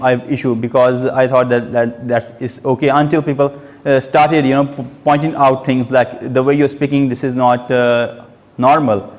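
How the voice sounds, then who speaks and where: Young man, stammering speaker, slow and halting, hall reverb. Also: medium pitch. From Pakistan. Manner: stammers, with frequent hesitations and repeated sounds. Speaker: club member giving a speech. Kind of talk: prepared personal speech.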